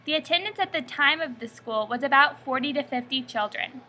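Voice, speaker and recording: A young woman reading her school family-history presentation in a steady, scripted recitation. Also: sits high, a little fast, slight background noise. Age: young adult. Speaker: student presenter.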